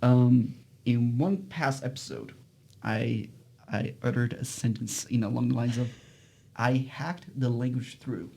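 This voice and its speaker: Halting male host, native Portuguese speaker. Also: mid-range voice, creaky, darkish tone. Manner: halting, repeats phrases. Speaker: podcast host. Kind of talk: casual interview chat.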